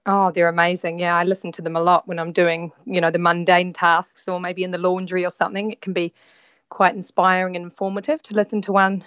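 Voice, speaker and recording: NZ woman guest, young, relaxed and hesitant, lower voice, muffled dry call line. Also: a little fast, smooth, no echo. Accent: New Zealand. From New Zealand. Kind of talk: remote interview over a call. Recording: dry, slightly dull call audio.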